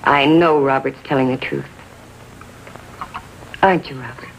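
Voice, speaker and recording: A woman's voice: extremely low pitch, fairly even intonation, measured pace. An actress playing a doting, trusting mother in 1950s TV drama style, polite and earnest. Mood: earnest, insistent. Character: doting, trusting mother of a teenage gang leader. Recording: dull hissy 1950s TV soundtrack.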